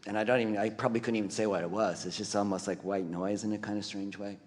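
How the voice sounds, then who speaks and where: Low-voiced man in a reverberant hall. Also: quick pace. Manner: relaxed, meandering with many fillers. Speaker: panelist artist. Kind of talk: casual panel discussion.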